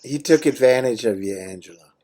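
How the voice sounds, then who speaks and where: A man's voice: mid-range voice, brisk, dark tone. A man with an American accent chatting casually on a phone call in a laid-back, matter-of-fact way, in short plain sentences. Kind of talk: casual personal phone chat.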